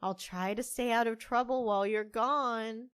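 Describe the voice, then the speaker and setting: A woman's voice: fairly high pitch, fairly even intonation, normal speed, a bit bright, clear, resonant tone, dry recording, studio quality, natural voice. A woman playing a stressed, stubborn barista in an audio drama, with a wry, defensive, casual way of talking. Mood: frustrated. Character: stressed, stubborn half-human young-looking woman barista, grieving, wry.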